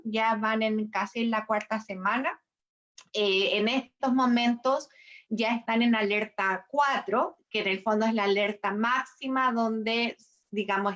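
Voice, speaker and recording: A woman with a Chilean Spanish accent talks in a fairly high voice, stopping often to restart her sentences and fill pauses. Her audio comes through muffled and lo-fi, like a video call. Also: fairly bright tone. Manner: hesitant, many fillers and restarts. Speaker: embassy education representative. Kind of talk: casual video-call interview.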